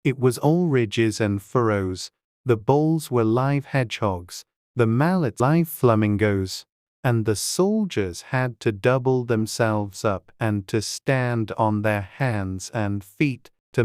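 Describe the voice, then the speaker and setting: A narrator reading a children's classic as a scripted audiobook, with a smooth, clear voice. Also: mid-range voice, a little mellow, no echo, studio quality.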